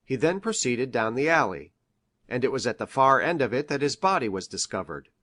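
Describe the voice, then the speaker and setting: A man playing an earnest country doctor in an audiobook, reading aloud in a formal, deliberate way. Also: mid-range voice, sing-song, bright, forceful tone. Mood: formal, measured. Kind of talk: measured dramatic audiobook reading of formal prose.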